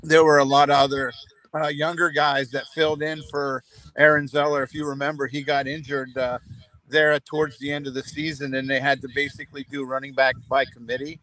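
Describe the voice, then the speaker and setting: A hoarse man co-hosting a local high school sports talk show, speaking in a halting way with many pauses and restarts. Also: level tone, bright, forceful tone, poor audio. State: hoarse, losing his voice.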